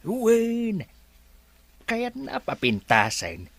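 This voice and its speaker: Radio play: man as excitable villager, wildly swinging pitch. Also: very slow, no room sound, heavily acted. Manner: animated, repeats "ah, bakit?".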